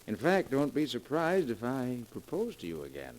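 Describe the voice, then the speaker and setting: An actor playing a lovestruck, easily shaken husband in a dramatic, emphatic 1940s radio acting style. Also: male voice, medium pitch, wildly swooping pitch, normal speed. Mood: warm, eager. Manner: dramatic, emphatic, repeats himself in disbelief. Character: lovestruck husband, growing shaken and stubbornly disbelieving. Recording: noisy vintage 1940s radio recording, organ behind.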